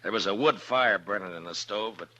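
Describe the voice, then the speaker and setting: Deep-voiced frontier marshal. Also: male voice, brisk, pushed and bright. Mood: reflective. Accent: American. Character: big easygoing frontier marshal, reflective, plain-spoken narrator. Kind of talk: old-time radio western acting and narration. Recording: muffled old radio recording, music behind.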